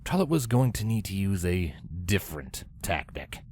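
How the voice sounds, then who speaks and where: Low-pitched man narrating a story. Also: big pitch swings, normal speed, a little mellow.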